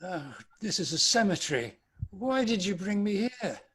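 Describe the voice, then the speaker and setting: A man acts out an old British miser in a dramatic reading. The voice sits high for a man. He is anguished and repentant, with a theatrical, pleading delivery. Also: big pitch swings, moderate pace, fairly bright tone, breathy edge, heavily acted. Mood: troubled. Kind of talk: dramatic table read.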